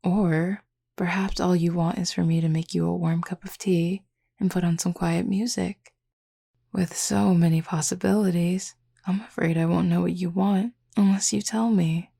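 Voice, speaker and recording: A woman playing a flirty, confident female werewolf in an intimate audio roleplay, with a husky, gravelly voice and a teasing, drawling, playfully commanding manner. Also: on the low side, fairly even intonation, moderate pace, mellow.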